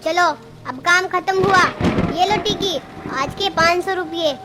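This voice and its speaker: A stingy cartoon boss scolds his worker in a shrill, very high voice, as a woman voices him. He sounds curt and tight-fisted. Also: measured pace, loud music behind the voice. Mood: gruff. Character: stingy male brick kiln owner, gruff boss. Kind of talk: cartoon story voice acting.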